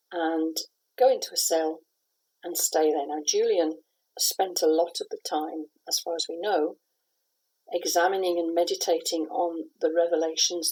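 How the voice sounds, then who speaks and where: A middle-aged woman from the west of Scotland talks through her work in a husky, fairly low voice. She answers in a thoughtful, measured way, with pauses and restarts. She sounds like a playwright giving an interview. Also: darkish tone, poor audio.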